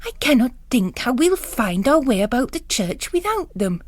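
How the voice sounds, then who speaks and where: A woman's voice: fairly high pitch, very animated, moderate pace, no room sound. A woman voicing a pious, anxious, devoted female housekeeper, earnest and fretful, in a literary drama. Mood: anxious pleading.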